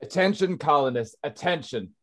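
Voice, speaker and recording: A man's voice: on the high side, lively intonation, normal speed. A man playing an alarmed colony boss giving emphatic orders in a screenplay table read. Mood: alarmed, halting. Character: Al, gruff male colony boss raising the alarm.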